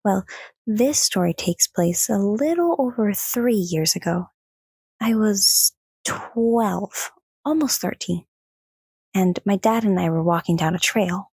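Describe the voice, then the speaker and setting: A woman playing a sweet, trusting young daughter in an audio drama, speaking gently and earnestly. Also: medium pitch, slow pace, slightly rough, dry recording. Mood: casual, friendly. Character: sweet, trusting daughter, child to mid-teens, telling family stories.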